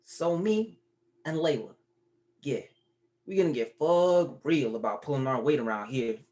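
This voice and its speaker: An actor at a table read playing a teasing, slangy young grandson. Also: male voice, medium pitch, animated, a little slow, darkish tone, natural voice.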